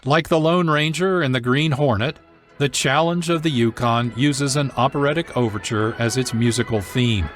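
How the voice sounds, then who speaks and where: Male radio host, medium pitch, measured and formal scripted narration with soft music underneath.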